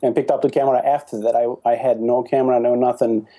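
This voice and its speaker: A man from Turkey, a guest answering questions in a friendly, halting way. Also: mid-range voice, little pitch movement, warm tone. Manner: friendly, with frequent hesitations. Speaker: podcast guest, wedding photographer. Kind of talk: casual interview answers.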